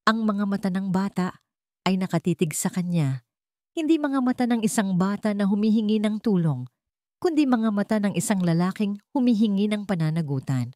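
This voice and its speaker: Female Filipino narrator for dramatic fiction, deliberate delivery, lively melodic range, close dry sound. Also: mid-range voice, no echo. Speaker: story narrator. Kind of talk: dramatic scripted narration of a Tagalog romance drama.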